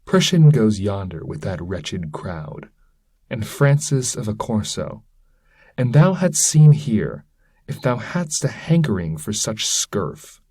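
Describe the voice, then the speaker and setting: A man's voice: lowish voice, a little mellow, husky, dry recording, studio quality, heavily acted. A man voicing a damned scholar's spirit, a fatherly, bitter old mentor who speaks in grave, archaic oratory within a poetry reading. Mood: grave, disdainful.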